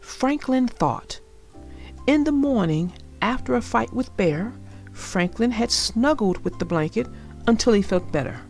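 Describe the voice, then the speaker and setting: A woman narrating a children's storybook aloud with deliberate, expressive phrasing for young listeners. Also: a little high, faint music behind.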